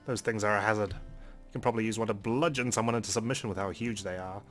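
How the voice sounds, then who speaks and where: Man reading a visual novel, slightly low. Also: fairly even intonation, fast, a bit bright. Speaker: let's play host. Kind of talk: reading a visual novel aloud with casual commentary. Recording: faint game music behind. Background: game music.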